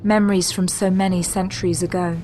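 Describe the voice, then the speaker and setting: A woman's voice: fairly low pitch, little pitch movement, brisk, mellow, slight background noise. A woman giving scripted narration for an audio drama as its storyteller. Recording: music bed under narration.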